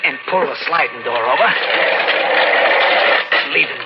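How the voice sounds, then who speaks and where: A man's voice: medium pitch, unhurried, natural voice. An actor playing a scrappy, broke young male drifter in an old-time radio drama, talking in slangy, colloquial style. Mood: breezy, slangy. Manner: colloquial, slangy hard-boiled narration. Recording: muffled vintage radio recording. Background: loud dramatic music.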